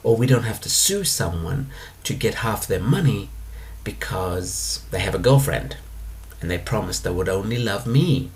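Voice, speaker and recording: A man talking alone as a spiritual teacher, explaining his ideas in a calm, unhurried way with drawn-out pauses. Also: lowish voice. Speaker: spiritual teacher answering questions. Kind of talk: monologue lecture.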